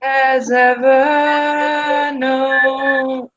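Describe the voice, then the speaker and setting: A woman with a smoker's voice, chatting and singing hymns in an unhurried way over a video call, humming when she forgets words. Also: high-pitched, little pitch movement, a bit bright, dull audio, faint music behind. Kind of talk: casual chat and singing hymns.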